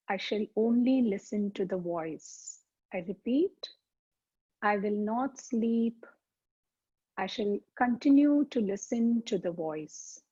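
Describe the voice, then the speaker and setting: Slow, mellow woman guiding meditation. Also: mid-range voice, husky. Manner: calm, deliberate, soothing pauses. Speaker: yoga teacher. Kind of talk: guided meditation, slow instruction. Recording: dull, muffled lo-fi sound.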